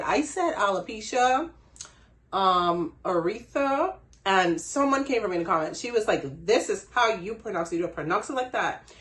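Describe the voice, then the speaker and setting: A woman's voice: medium pitch, a bit bright. A woman from the Bahamas with a Bahamian accent, giving a casual hair care tutorial in a chatty way and laughing at herself. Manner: chatty, laughs at herself, frequent "you know". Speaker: hair care brand owner.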